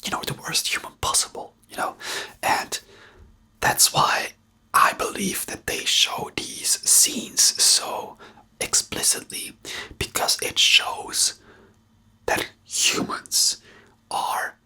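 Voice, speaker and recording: Breathy whisper, non-native English accent, ASMR commentary, crisp sharp sibilance, hesitant, rambling. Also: slow. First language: not English. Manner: hesitant, rambling, searching for words. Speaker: host.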